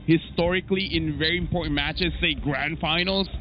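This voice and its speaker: A man's voice: a little high, fairly quick, muffled recording. A man casting an esports match, joking and bantering with his co-caster and the chat. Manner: playful, joking with chat, animated. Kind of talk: live esports commentary and banter.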